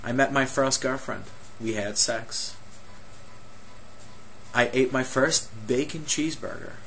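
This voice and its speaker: A young man from Egypt tells his own story in a measured, earnest voice of medium pitch. He often slows down and leans on rhetorical questions. A faint hiss sits under the recording. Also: a bit bright, low-quality recording. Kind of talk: personal testimony monologue.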